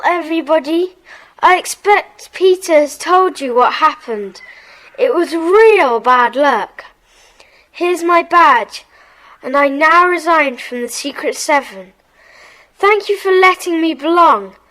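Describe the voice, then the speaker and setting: An earnest young boy acted by a woman in a children's radio-style play. The voice is extremely high, and he speaks politely, with regret. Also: expressive, takes it slowly, sharp tone, heavily acted, low-quality recording. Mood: regretful. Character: earnest, regretful schoolboy forced to leave his club. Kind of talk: children's audio drama acting.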